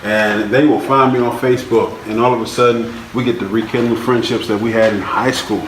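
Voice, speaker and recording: A man's voice: mid-range voice, steady tone, brisk. A man moderating a panel discussion, calling on panelists by name and asking them questions. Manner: friendly, asks questions and calls on panelists by name. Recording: distant echoey hall recording with background noise.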